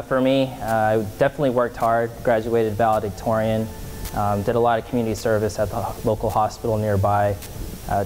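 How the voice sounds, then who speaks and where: Matter-of-fact army officer man, lowish voice. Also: a little hiss or hum, rough recording. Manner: steady, matter-of-fact with fillers. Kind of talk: documentary interview.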